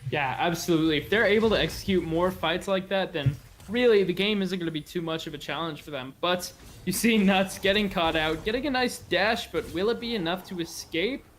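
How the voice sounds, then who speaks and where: High-voiced man doing esports play-by-play. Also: brisk, fairly bright tone, a little hiss or hum. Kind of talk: live game commentary.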